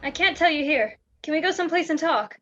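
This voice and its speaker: A woman's voice: high voice, expressive, fast talker, fairly bright tone, a little hiss or hum. A young woman playing a frightened, secretive spy in an amateur radio play, speaking urgently and dramatically. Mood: anxious, pleading. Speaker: student actor in a school radio play. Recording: dull lo-fi home recording.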